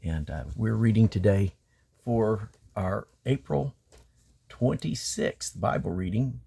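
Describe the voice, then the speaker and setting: A man's voice: fairly low pitch, animated, slow, vocal fry, dark tone, breathy edge. A man reading the Bible aloud dramatically, with emphatic delivery of archaic phrasing. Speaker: scripture reader.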